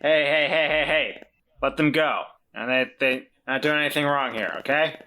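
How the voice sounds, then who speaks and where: Guy as a jittery defiant weasel in a tabletop game, everyday voice, kinda sharp, blustery. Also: fairly high pitch, normal speed. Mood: anxious pleading. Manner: hesitant, blustering, plain-spoken. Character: Walter, nervous but defiant talking male weasel.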